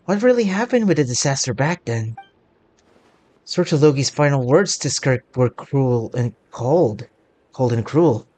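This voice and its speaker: A woman's voice: a bass voice, animated, a little slow, warm tone. A woman streaming a story game, commenting and reacting casually as she plays.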